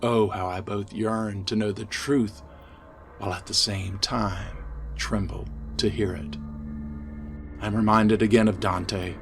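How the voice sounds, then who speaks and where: A man reading a gothic horror story in a measured, theatrical, ominous manner, as an author narrating his own tale. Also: on the low side, fairly even intonation. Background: music.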